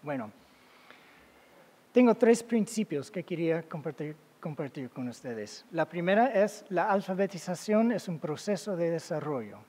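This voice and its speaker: A man with as his giving an academic talk as a guest lecturer, halting, with many fillers and self-corrections. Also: a little high, expressive, a little mellow, breathy edge. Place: lecture hall. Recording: long reverb of a large hall.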